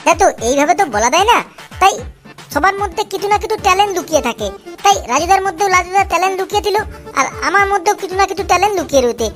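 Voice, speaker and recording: Squeaky, hot-tempered young paratha seller. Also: animated, racing. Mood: boastful. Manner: ranting, scolding. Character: hot-tempered young male street paratha seller, boastful, foul-mouthed. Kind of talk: comic Bengali cartoon dialogue. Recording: loud music behind the voice. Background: music.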